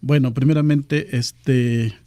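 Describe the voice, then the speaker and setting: A man from southern Veracruz whose first language is Nahuatl, explaining things in a measured way during a radio interview. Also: mid-range voice, steady tone, warm tone, dry recording. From Pajapan, southern Veracruz, Mexico. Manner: explanatory, measured, with "este" fillers. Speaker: guest, cultural outreach official.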